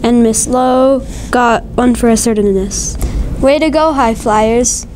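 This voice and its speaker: A woman announcing a school news show, reading a list of names in a slow, careful way. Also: a little high, noisy background.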